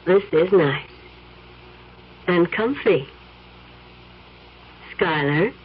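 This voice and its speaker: A slow, teasing femme fatale. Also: female voice, lowish voice, animated, breathy edge, exaggerated voice. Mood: seductive. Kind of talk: 1970s radio drama acting. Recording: dull vintage radio broadcast.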